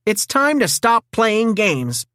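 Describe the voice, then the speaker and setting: A woman voicing an eager, nervous teenage boy turtle inventor in a children's storybook, with excitable delivery. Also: medium pitch, slow, no echo, studio quality, pitched above the performer's own voice. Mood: determined. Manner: excitable, exclaiming.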